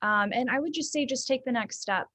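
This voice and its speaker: A woman's voice: high-pitched, fairly quick, dry recording. Young woman therapist from the south, easygoing, lots of ums and little laughs, dry close sound. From Southern United States. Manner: warm, laughing, thoughtful with many ums. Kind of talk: casual interview.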